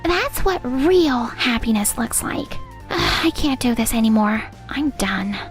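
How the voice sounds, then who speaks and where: A woman's voice: fairly high pitch, lively intonation, measured pace, soft background music. A woman playing a blunt, fed-up young girlfriend in a manga dub, with an exasperated, dramatic manner. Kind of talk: animated manga dub dialogue.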